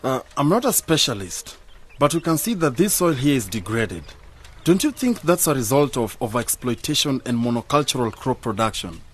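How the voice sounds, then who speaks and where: An actor playing an earnest young graduate in a radio drama, with a polite, questioning manner. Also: male voice, medium pitch, sing-song, normal speed, breathy edge. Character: earnest young male graduate returning to his village.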